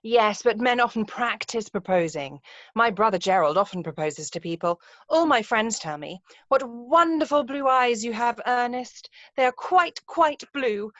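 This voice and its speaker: Woman playing a poised romantic young lady, animated, high voice. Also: measured pace, no echo. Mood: coyly insistent. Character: poised, romantic, self-assured young upper-class lady. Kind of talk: amateur play read-through. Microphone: video call. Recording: muffled, dull video-call audio.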